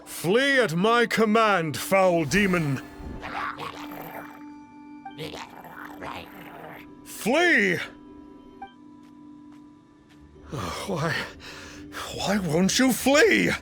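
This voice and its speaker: Pompous, swooping fake exorcist. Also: male voice, high voice, big pitch swings, extremely slow, vocal fry, fairly bright tone, soft background music, heavily acted. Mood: commanding, panicking. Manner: pompous, stilted, calls himself "one". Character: pompous, cowardly fake exorcist, grandly boastful man. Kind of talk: English game voice acting.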